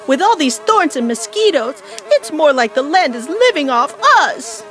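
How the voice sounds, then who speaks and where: A woman's voice: sits high, very animated, normal speed, slight background noise. A woman playing an exasperated, sensible mother bear in a storybook audio play, indignant and dramatic. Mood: exasperated, shouting.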